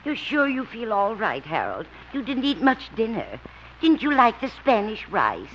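A woman's voice: fairly high pitch, huge pitch swings, normal speed. An actress playing a doting mother who fusses over her grown son in a 1950s radio sitcom. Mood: fussing. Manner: fussy, worried questioning. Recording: dull old radio recording with hiss.

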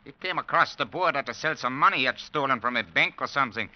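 A man's voice: mid-range voice, animated, fast talker, close to an everyday voice. An actor playing a shady henchman who talks tough and matter-of-fact in an old radio drama. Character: shady gang henchman briefing his partner. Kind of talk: 1940s-50s radio drama acting. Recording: muffled old radio recording.

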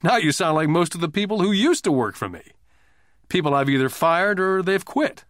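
A man voicing a calm, shrewd boss in an audiobook, lecturing like a mentor in a measured, wry way. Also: a little high, no echo, clean studio sound, voice pushed up. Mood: amused.

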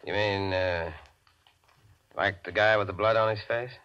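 An actor playing a sly, mocking tough guy in a 1940s radio crime drama, taunting and coolly menacing in slangy, deliberate speech. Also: on the low side, fairly even intonation, pushed and bright, smooth. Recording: dull vintage radio recording.

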